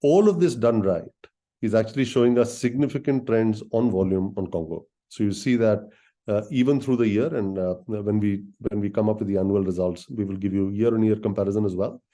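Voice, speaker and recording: A man speaking as a company executive in a business presentation, explaining things directly to listeners in halting phrases with many filled pauses. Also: on the low side, warm tone. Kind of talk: business presentation on an earnings call.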